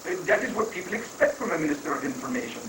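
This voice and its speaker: A man's voice: high-pitched, very animated, racing. A man playing a glib, unruffled guru who hands out mock-wise reassurance in an amateur reading of a stage satire. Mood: reassuring. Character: guru counselling troubled government officials, unruffled and glib. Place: room at a live library reading. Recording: distant, echoey room recording with faint noise.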